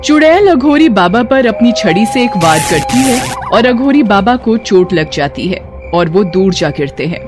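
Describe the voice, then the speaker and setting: A woman's voice: mid-range voice, sing-song, unhurried. Woman narrating a horror story over music.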